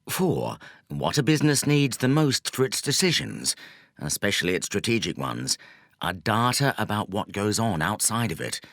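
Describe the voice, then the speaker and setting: A man reading a nonfiction audiobook as narrator, in a scripted style with measured, deliberate phrasing. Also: on the low side, creaky, fairly bright tone, dry recording, clean studio sound.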